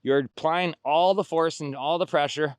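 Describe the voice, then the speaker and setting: A man's voice: a little high, normal speed, clean tone, dry recording. A middle-aged man coaching a workout outdoors, cueing form, counting reps and cheering people on. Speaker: fitness instructor. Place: outdoors on a beach.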